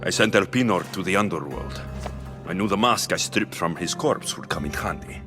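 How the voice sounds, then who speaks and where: An actor voicing a bold, blunt young Spartan mercenary hero in a video game drama, with music behind. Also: male voice, deep voice, animated, moderate pace. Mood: suspicious.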